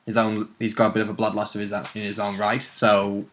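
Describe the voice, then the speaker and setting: A man's voice: lowish voice, monotone, quick pace, fairly bright tone. A man chatting casually as a player and co-commentator, informal and rambling in his way of talking. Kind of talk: casual chat.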